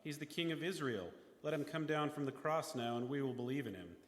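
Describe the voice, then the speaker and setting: A man's voice: fairly high pitch, animated, brisk, natural voice. A man playing a derisive chief priest mocking in a church readers' theater. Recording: echoey hall, church sanctuary.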